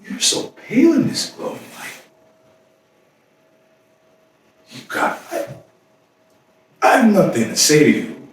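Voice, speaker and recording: A man performing a staged dramatic monologue as a weary, lovesick, despairing man, speaking in halting, broken phrases with long pauses. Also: fairly high pitch, wildly swooping pitch. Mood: exhausted. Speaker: stage actor. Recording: roomy echoing stage, distant mic, faint noise.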